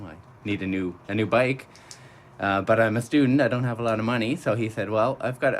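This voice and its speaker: A man's voice: fairly low pitch, a little hiss or hum. A man speaking as a landscaper guest in a radio interview, telling anecdotes casually.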